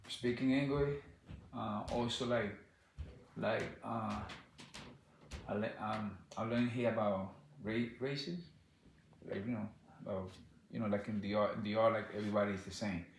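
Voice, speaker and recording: A man with a Dominican accent talks in a fairly low voice. He answers questions in loose, repetitive bursts. The echoey room carries a faint hiss of background noise. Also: fairly even intonation. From Dominican Republic. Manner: halting, repeats words, many "like" and "you know". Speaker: interviewee. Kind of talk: casual interview answers.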